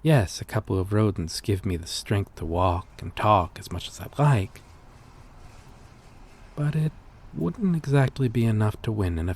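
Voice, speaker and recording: A man playing a gentle, weary, lonely vampire in an intimate ASMR roleplay, speaking in hesitant, formal, confessional phrases. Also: on the low side. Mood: earnest, wistful. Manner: hesitant, confessional, formal old-fashioned wording.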